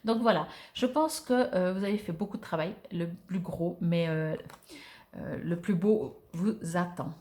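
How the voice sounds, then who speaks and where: A woman's voice: on the low side, unhurried, warm tone. Woman giving a conversational, hesitant card reading. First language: French. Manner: hesitant, searching for words, conversational. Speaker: oracle card reader. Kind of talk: informal spiritual card reading to viewers.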